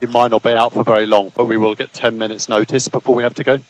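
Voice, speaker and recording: A man's voice: mid-range voice, level tone, fast, no echo, highs cut off. A man working as a race engineer, giving terse, clipped instructions over team radio and repeating the key calls. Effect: team radio transmission. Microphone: radio headset.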